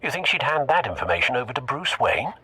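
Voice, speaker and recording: A man's voice: mid-range voice, very animated, fast, bright, forceful tone, breathy edge, dry recording, heavily acted. An actor playing a loyal, proper older male butler who speaks politely and formally. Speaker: game character.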